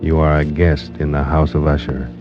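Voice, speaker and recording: Grave, menacing mystery announcer, rock-bottom pitch, vintage radio style, muffled recording. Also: male voice, little pitch movement, normal speed. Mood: ominous. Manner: ominous, portentous. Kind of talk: 1940s radio announcing, dramatic. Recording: muffled old radio, loud music behind.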